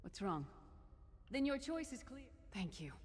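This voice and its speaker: A woman's voice: a little high, sing-song, a little slow. An actress playing a loyal, battle-hardened warrior woman in a fantasy video game, speaking directly, earnestly and resolutely. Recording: reverberant, with faint background noise.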